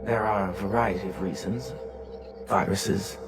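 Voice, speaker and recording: An actor playing an ominous, composed guide to memories in a video game, speaking in a measured, enigmatic manner. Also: male voice, lowish voice, fairly even intonation, natural voice. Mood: cryptic, composed. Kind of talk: scripted game narration. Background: music.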